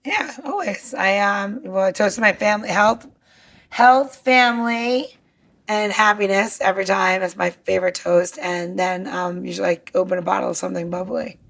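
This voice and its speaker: A woman's voice: medium pitch, fairly even intonation, normal speed, gravelly, fairly bright tone. A woman co-hosting a podcast in casual chat, blunt, joking and salty-tongued. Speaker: podcast co-host.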